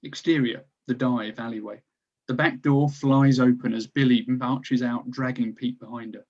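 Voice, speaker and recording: A man's voice: mid-range voice, fairly even intonation, normal speed, a little mellow, muffled recording. A man reading stage directions as scripted narration for a screenplay table read.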